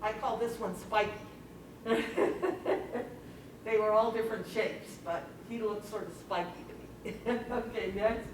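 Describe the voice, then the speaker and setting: A woman presents her photos casually to a club at an unhurried pace. Her delivery is halting and informal. She is picked up from far off in a very echoey room with clear background noise. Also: a little high, steady tone. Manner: halting, informal, chuckling between remarks. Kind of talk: casual slide commentary. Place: library meeting room, recorded from afar. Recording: very echoey room, distant mic, noisy.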